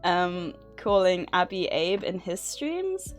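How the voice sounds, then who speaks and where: A woman's voice: fairly low pitch, sing-song, slow pace, soft background music. A woman hosting a livestream, chatting casually in a nervous, giggly way. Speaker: livestream host. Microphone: streaming microphone at a desk.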